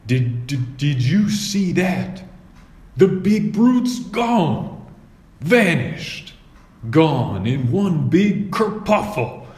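A man voicing an excitable, boastful young knight in a storybook, exclaiming and proclaiming. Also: fairly high pitch, drawn-out words, voice pushed up, exaggerated voice. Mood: amazed, elated. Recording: roomy echo.

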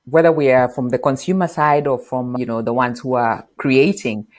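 A middle-aged woman from Kenya, a podcast guest, explaining marketing in an animated interview on a lapel mic in a home office. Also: very deep voice, moderate pace. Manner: animated, gestures while explaining. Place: bright home office with bookshelf.

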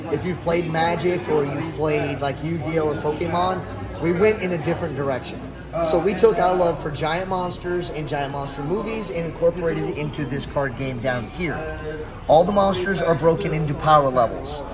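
Higher-pitched middle-aged man over clear music. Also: normal speed. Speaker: card game creator giving a demo. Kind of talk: casual explanatory pitch. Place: busy indoor convention hall.

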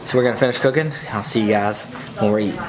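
A man's voice: lowish voice, very fast, crowd noise, rough recording. A young man in his twenties narrating a casual vlog into an action camera held at arm's length, easygoing as he plugs links. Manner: laid-back, plugs links and merch. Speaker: vlogger host. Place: outdoors on a boat, then a home kitchen.

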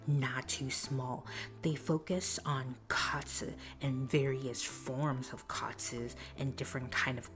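Low, whispering woman food vlogger. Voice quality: soft, breathy whisper. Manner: calm, descriptive, enthusiastic about food. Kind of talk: whispered food review voice-over.

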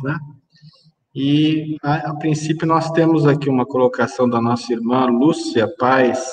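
Brazilian host reading viewer questions. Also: male voice, mid-range voice. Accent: Brazilian Portuguese. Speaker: live stream host. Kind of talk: moderating questions, reading the chat. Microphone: webcam on a video call. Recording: distant mic in a reverberant room.

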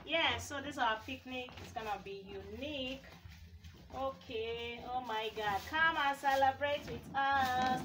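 A woman's voice: sits high, a little slow, distant mic. A woman in her thirties with a Nigerian accent, chatting playfully as she films her family vlog. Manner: chatty, playful, laughs often. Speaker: vlogger. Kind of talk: casual vlog chat. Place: outdoor yard and indoor kitchen. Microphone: handheld phone camera. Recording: noisy handheld phone audio.

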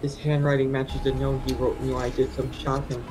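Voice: medium pitch, level tone, brisk, over music, natural voice, poor audio. An actor playing an earnest, hesitant young detective in a video game. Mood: certain.

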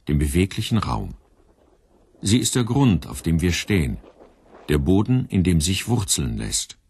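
A man delivering scripted radio narration as announcer, deliberately and phrase by phrase. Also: sits low, vocal fry, a little mellow, husky, no echo.

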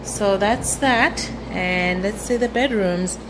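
A young woman chatting casually to viewers as a travel vlogger, unhurried and repeating her phrases. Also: medium pitch, noisy background. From South Africa. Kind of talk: casual vlog chat to viewers. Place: indoors in a rental home.

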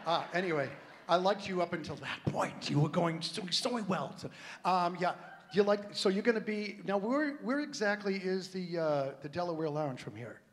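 A man's voice: high-pitched, lively intonation, normal speed, a little hiss or hum. A middle-aged man hosting a live show, joking and rambling with his guest on a theater stage into a handheld mic. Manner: joking, rambling, self-deprecating. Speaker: show host. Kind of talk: live variety show banter. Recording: stage voice in a reverberant hall.

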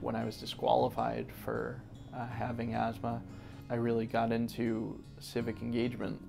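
A man's voice: mid-range voice, completely flat delivery, moderate pace, gravelly, over music. A young man from Connecticut, an environmental justice organizer, answering interview questions earnestly with frequent restarts and fillers. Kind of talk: sit-down interview. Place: office gallery room.

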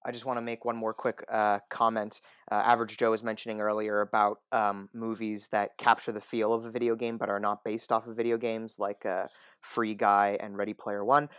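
A man's voice: fairly low pitch, little pitch movement, fairly quick, fairly bright tone, smooth, dry recording, muffled recording. A man chatting casually as a guest on a podcast. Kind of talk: casual chat.

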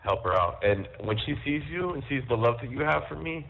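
A man in an intimate, casual phone call, talking haltingly and repeating his phrases, on a tinny jail phone line. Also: mid-range voice, lively intonation, gravelly, slight background noise. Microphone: telephone handset.

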